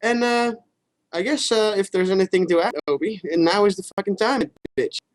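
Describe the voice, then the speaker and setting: A man's voice: sits high, moderate pace, rough recording. A man co-hosting a casual gaming show, rambling loosely with crude, teasing swearing. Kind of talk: casual chat.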